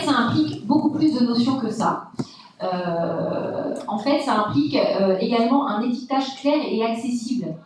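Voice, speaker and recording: A woman's voice: fairly high pitch, distant mic, noisy background. A woman from Brittany, a food science lecturer, gives a public science talk, explaining step by step with frequent hesitations. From Brittany, France. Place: large lecture hall. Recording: echoey lecture hall, from afar.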